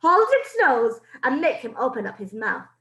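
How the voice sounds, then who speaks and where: A woman's voice: on the high side, sing-song, normal speed, fairly bright tone, roomy sound. A woman doing a screeching tyrannical witch queen. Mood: commanding. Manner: shrieking, commanding.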